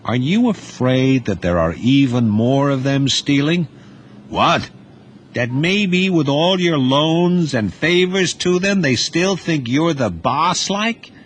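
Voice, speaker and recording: A man voicing a brash, scheming teenage boy in an audio drama, raising his voice high and pushy. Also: lively intonation, slow, a little hiss or hum. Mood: defiant.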